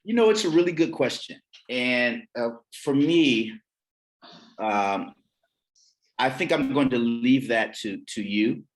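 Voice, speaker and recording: A man moderating an online poetry conference, who talks haltingly with frequent restarts. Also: mid-range voice, expressive, rough recording. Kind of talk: conference conversation. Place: video call.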